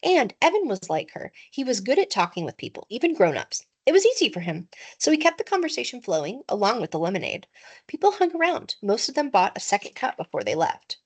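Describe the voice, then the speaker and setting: A woman's voice: fairly high pitch, sing-song, fairly quick, husky. A woman reading a children's book aloud expressively, like a teacher to pupils.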